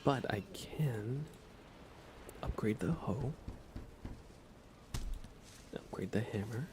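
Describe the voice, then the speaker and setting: A man softly thinking aloud in an unhurried, whispered ASMR style as he narrates a video game livestream. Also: medium pitch, expressive, noisy background. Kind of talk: ASMR gaming commentary, whispered and soft-spoken.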